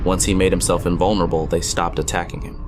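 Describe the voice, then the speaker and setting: A man playing a wry gamer friend who narrates an eerie audio drama in a measured, suspenseful way. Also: deep voice, level tone, music in the background, natural voice. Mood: ominous, measured. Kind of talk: dramatic first-person storytelling.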